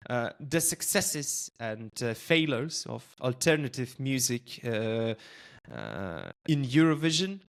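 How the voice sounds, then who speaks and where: A man's voice: mid-range voice, a bit bright. A man whose first language is Lithuanian, hosting a podcast interview in a thoughtful way with many hesitations. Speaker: podcast host.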